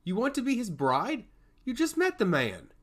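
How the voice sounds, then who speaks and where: A man's voice: high-pitched, huge pitch swings, normal speed, fairly bright tone, pitched above the performer's own voice, exaggerated voice. A man playing a rude, protective brother in a fantasy story, blunt and challenging in manner. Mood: incredulous. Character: rude, incredulous, protective brother of a young woman. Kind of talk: fantasy audio story dialogue.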